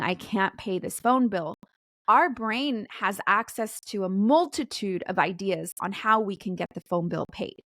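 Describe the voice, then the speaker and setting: A woman's voice: mid-range voice, a bit bright, no room sound. A woman in her forties, a podcast guest explaining ideas in an earnest way. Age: 40s. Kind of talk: podcast interview.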